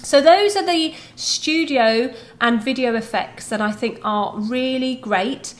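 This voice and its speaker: A woman presenting a video software tutorial, explaining step by step in an informal, conversational way. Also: sits high, slow.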